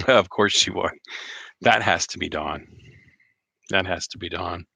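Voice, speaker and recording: A slightly hoarse man chatting casually on a live stream, rambling with many fillers and restarts. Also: low-pitched, measured pace, bright, forceful tone, no echo. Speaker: RV vlogger hosting a live chat.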